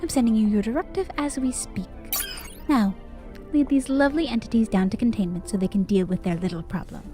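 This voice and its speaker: A woman's voice: fairly high pitch, a little fast, mellow, faint music behind, close to an everyday voice. A woman playing a condescending director of an otherworldly bureaucracy in an audio drama, sweet and falsely cheerful with menace beneath. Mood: breezily commanding. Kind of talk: scripted audio drama acting.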